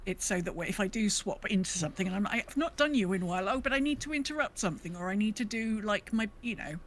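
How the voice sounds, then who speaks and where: A woman podcast co-host talks in a casual, conversational way at a middle pitch. There is faint noise behind her voice. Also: animated, quick pace. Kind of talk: casual discussion of a video game.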